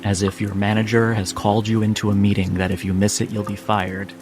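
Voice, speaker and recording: A man with a fairly low voice acts as game master for a tabletop game. He narrates dramatically, setting phrases apart with deliberate pauses. Clear music and rain play behind him. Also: flat delivery. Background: music and rain sounds.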